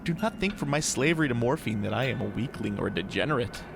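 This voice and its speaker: A man reading gothic horror as a despairing, doomed sailor, with a grave, measured, anguished delivery. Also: mid-range voice, animated, gravelly, music in the background. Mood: anguished, desperate. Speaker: story narrator.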